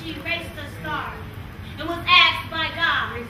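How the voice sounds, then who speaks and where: An actress playing a grave female narrator, delivering a slow, measured, emphatic spoken-word monologue in a stage play. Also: high voice. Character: grave female narrator of a nameless woman's ordeal. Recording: very echoey stage, distant mic, noisy.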